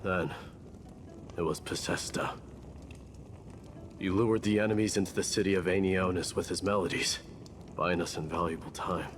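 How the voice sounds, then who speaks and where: An actor voicing a stoic exiled warrior prince in a video game, speaking in a solemn, measured, reflective manner as he mourns fallen comrades. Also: deep voice, steady tone, background noise, natural voice. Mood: grave, sorrowful. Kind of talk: dramatic scripted game narration.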